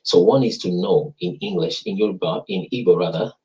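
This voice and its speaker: Deep-voiced man explaining. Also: brisk, warm tone. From Africa. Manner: teacherly, tags points with "right?" and "you see". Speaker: host explaining language links. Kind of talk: casual explanatory chat. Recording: dull lo-fi sound.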